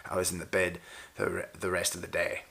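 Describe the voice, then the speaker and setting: Guy in his 30s, low voice but bright and forceful, rambly and wry. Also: completely flat delivery, moderate pace, gravelly. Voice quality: voice tires easily from past throat injury. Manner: rambling, self-correcting, wry. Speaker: pro wrestler and jiu-jitsu and boxing coach. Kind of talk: unscripted casual talk to camera.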